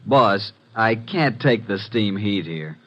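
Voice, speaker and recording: An American actor playing a brisk, wisecracking detective's assistant in a 1950s radio mystery. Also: male voice, on the low side, expressive, fairly bright tone, heavily acted. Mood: wry, breezy. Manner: wry, breezy. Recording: muffled, hissy vintage radio recording.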